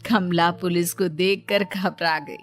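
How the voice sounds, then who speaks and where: A woman's voice: lowish voice, fairly even intonation, a little slow, faint music behind. A woman storyteller giving a scripted narration.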